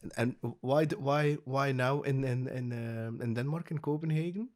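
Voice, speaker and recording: A man hosting a podcast, talking in a halting, friendly way with many fillers and restarts. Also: mid-range voice, steady tone, a little mellow. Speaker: podcast host. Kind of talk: casual interview talk.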